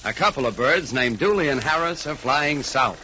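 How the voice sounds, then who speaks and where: A man's voice: mid-range voice, lively intonation, moderate pace, lo-fi sound, very noisy. A man working as a 1940s radio announcer and narrator, with a polished, theatrical scripted delivery. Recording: band-limited 1940s radio recording. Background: music.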